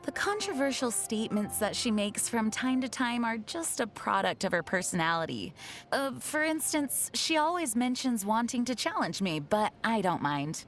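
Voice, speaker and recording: A woman voicing an earnest, dutiful young knight commander in a video game, speaking in a formal, measured way. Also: a little high, sing-song, soft background music. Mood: gracious, warm. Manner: formal, measured, solemn diction. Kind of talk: video game character voice lines.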